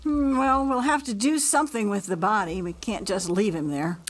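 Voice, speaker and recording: A woman's voice: medium pitch, normal speed, lightly acted. A woman playing an imperious elderly grandmother and hostess in an audio drama, stately and disapproving. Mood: stern.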